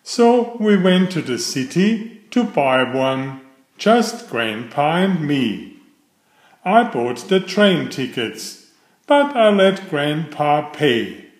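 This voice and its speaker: Lively middle-aged man reading, roomy sound. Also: a little high, slow. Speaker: children's book reader. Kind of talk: read-aloud bedtime storytelling. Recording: roomy, echoey voice recording.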